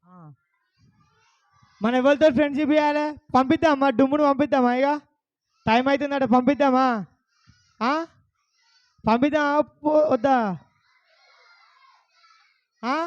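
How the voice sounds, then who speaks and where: Guy running a kids puppet show, highish voice, keeps quizzing the kids, muffled sound. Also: fairly even intonation, measured pace, pauses often. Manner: questioning children, repetitive. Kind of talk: kids' bible puppet show.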